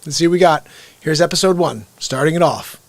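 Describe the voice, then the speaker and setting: A man's voice: fairly high pitch, a little fast, slight background noise. A man hosting a livestream, chatting casually and upbeat as he speaks directly to his viewers. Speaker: livestream host. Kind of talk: casual chat.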